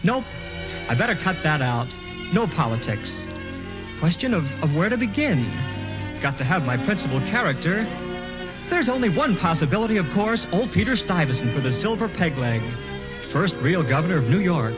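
A man's voice: a little high, sing-song, normal speed, music in the background. An actor playing a whimsical young writer in his twenties, musing wryly to himself in a 1940s radio play. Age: 20s. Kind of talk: 1940s radio acting, narrating and singing. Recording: muffled 1940s radio with orchestra behind.

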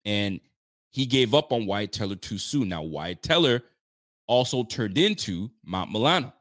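A man in his late thirties hosting a casual sports talk show, animated and chatty, often repeating himself. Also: lowish voice, unhurried, no echo, studio quality. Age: 30s. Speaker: sports podcast host.

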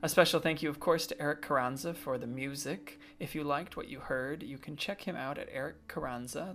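A man's voice: fairly high pitch, vocal fry, a bit bright. A man hosting a podcast, talking casually as he interviews a guest and addresses listeners. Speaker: podcast host.

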